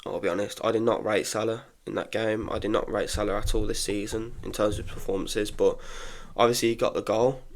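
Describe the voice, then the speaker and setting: Young man, bunged up with a cold, gravelly low voice, casual football chat, blunt opinions. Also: fairly even intonation, brisk, few pauses. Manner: chatty, frank opinions with frequent restarts. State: congested from illness. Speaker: football podcaster. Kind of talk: casual solo football review.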